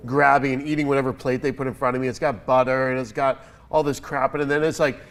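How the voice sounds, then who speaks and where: A man hosting a casual studio interview, sounding congested and telling stories with lively energy and laughter. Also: mid-range voice, quick pace. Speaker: podcast host.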